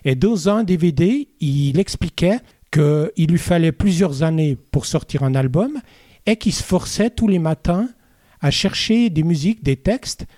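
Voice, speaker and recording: A man's voice: a little high, animated, unhurried, warm tone, dry recording, studio quality. A middle-aged man with a Vaud Swiss French accent, a musician chatting in an interview with many hesitant fillers. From Switzerland. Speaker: musician guest. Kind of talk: casual interview chat.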